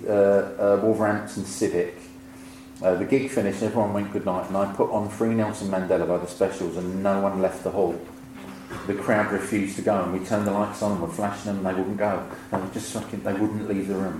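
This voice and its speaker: A man's voice: deep voice, a little mellow. Guy in his 50s ranting and swearing on stage, really echoey noisy recording. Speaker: comedian speaking on stage. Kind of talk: informal live storytelling to an audience. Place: indoor event before an audience. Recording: echoey live room with clear background noise.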